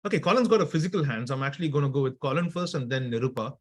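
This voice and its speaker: A man's voice: medium pitch, lively intonation, very fast. A man hosting an interactive online workshop over a video call, chatty, with stammered restarts and easy laughter. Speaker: workshop host.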